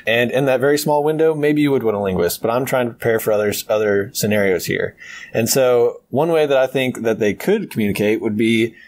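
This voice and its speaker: A man in a relaxed podcast debate, speaking at an ordinary middle pitch. He argues his choice confidently and breaks into laughter often. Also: brisk. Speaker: podcast co-host arguing a debate pick. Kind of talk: casual debate chat.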